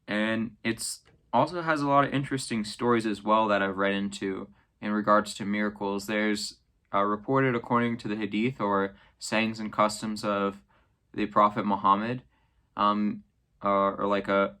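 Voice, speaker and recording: A man's voice: on the low side, bright, forceful tone. A young man casually explaining a religious text, with many fillers and tag questions. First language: English. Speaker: channel host explaining scripture. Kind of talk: casual explanatory talk to camera.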